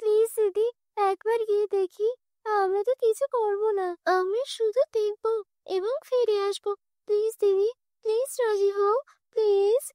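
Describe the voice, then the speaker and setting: Cartoon casting: a woman as a poor little orphan girl, pleading and earnest, very high-pitched, dry sound. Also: steady tone, a little slow, dark tone, no room sound. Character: poor young orphan girl, pleading and timid, flower seller. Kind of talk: children's cartoon dialogue.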